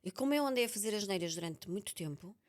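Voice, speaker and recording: A woman's voice: medium pitch, lively intonation, quick pace. A woman with a European Portuguese accent speaking candidly and reflectively as the guest in a personal interview, often repeating words for emphasis. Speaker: podcast author being interviewed.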